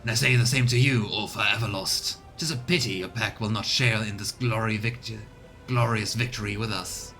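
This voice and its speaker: Man voicing a grandiose, lower-pitched space wolf warrior. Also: steady tone, normal speed, pushed and bright, faint music behind. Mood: boastful. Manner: grandiose, declamatory. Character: boastful, bloodthirsty male space warrior of a wolf pack. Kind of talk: dramatic video game character reading.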